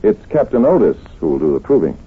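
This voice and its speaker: Radio detective, dryly courteous and confident, low husky tone, very varied intonation, tinny old-time broadcast. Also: male voice, very animated, full character voice. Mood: assured. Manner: measured, probing, dryly courteous. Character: shrewd, polite, self-assured male investigator doctor. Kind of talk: 1940s radio drama acting.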